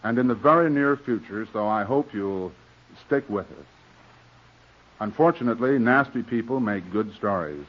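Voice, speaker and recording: A man's voice: on the low side, lively intonation, moderate pace. Male host on muffled vintage radio. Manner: polite, formal, wry. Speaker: host and director. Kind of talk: 1940s radio hosting, scripted address to listeners. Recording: dull vintage 1940s radio recording.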